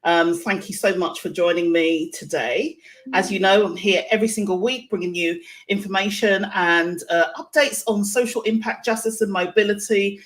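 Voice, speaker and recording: A woman's voice: medium pitch, fairly even intonation, normal speed, low-quality recording. A woman hosting a live online interview show, speaking in a chatty, effusive and encouraging way. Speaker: show host.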